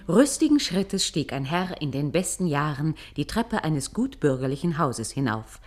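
A woman with a low voice narrates a radio drama. Her delivery is measured and wry, yet very varied in pitch, with music clearly behind her. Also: very animated, darkish tone. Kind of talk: expressive scripted radio play narration.

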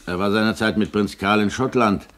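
A man's voice: on the low side, fairly even intonation, a little fast, a bit bright, natural voice. An actor playing a shrewd, suspicious middle-aged father in a German radio play. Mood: suspicious, knowing.

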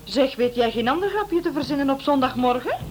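A woman's voice: high voice, expressive, brisk, music in the background. A woman playing a playful, self-assured detective's partner in a radio play, with a teasing manner. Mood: teasing complaint. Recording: noisy old radio play recording.

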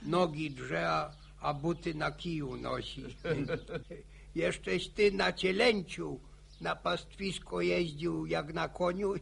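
An actor playing a talkative, boastful old grandfather, halting and repeating himself in a radio drama. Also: fairly high pitch, fairly bright tone, full character voice. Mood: indignant, boastful. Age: older. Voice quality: creaky, gravelly. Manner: halting, stammering, repeats words.

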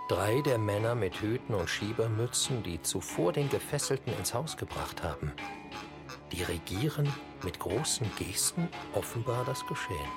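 A man narrating a scripted radio documentary drama in a husky voice. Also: on the low side. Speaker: narrator and commentator.

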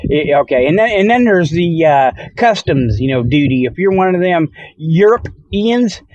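Man, higher-pitched voice, folksy podcast host, rambling tongue-in-cheek monologue, dry close sound. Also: big pitch swings, normal speed, a little mellow, no echo, lo-fi sound. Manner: folksy, colloquial speech with frequent "okay" and "you know".

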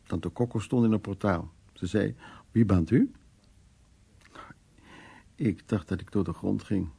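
Hard-drinking man, low gravelly voice, rambling teasing storyteller, radio drama. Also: a little slow, dark tone, heavily acted. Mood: reflective, storytelling. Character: evasive, hard-drinking man recounting his wartime past to his girlfriend. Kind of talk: Dutch radio drama acting.

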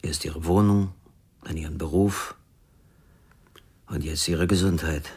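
An actor playing a cool, probing male therapist with shady dealings in a German radio crime drama, speaking in a measured way. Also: a bass voice, steady tone, a little mellow, slightly rough, exaggerated voice.

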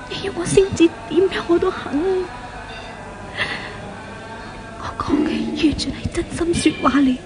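A woman playing a weary, remorseful fallen rich girl in a 1970s Hong Kong Cantonese radio drama, with dramatic breathy delivery and sighs. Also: sits high, close to an everyday voice, low-quality recording. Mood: desperate pleading. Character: once-pampered fallen young woman, tormented, weary, remorseful.

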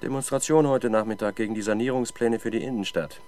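A man's voice: fairly low pitch, quick pace, darkish tone, close to an everyday voice. An actor playing a diligent, matter-of-fact police sergeant reporting to his superior in a radio crime drama. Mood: businesslike. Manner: businesslike, reporting, persistent. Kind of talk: 1970s German radio crime drama acting.

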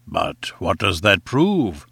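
Audiobook role: short, fierce professor, contemptuous and pompous, rumbling roar, sharp attack. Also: male voice, animated, measured pace, no echo, full character voice. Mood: coaxing menace. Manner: pompous, menacing, insulting. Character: short, barrel-built furious professor, bullying and contemptuous. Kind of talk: audiobook character acting.

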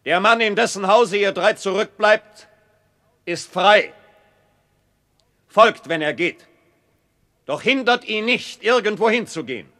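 An actor playing a formal, assured and commanding Saxon prince in a radio drama. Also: male voice, sits high, measured pace, long pauses, fairly bright tone. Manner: formal, authoritative. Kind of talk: German radio play acting. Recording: reverberant hall.

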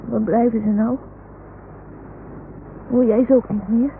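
Small earnest boy, radio play. Slow, creaky, childlike delivery; noisy, narrow-band vintage recording. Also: a little high, steady tone. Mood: anxious, pleading. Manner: childlike, slow, simple phrasing. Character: small earnest boy talking to his toy dog. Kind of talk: 1960s Dutch radio drama acting.

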